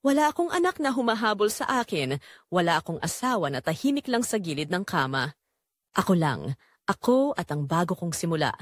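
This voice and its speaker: A Filipino woman narrates a dramatic first-person story. Her delivery is emotive and confessional, with lively swings in pitch, recorded very dry and close. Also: a little fast, no room sound.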